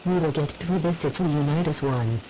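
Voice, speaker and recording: A deep, dark voice gives a solemn official address , acted by a woman. The weather chief is formal and measured, with faint noise behind the scripted speech. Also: close to an everyday voice, low-quality recording. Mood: resolute. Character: solemn female weather service chief addressing citizens in catastrophe.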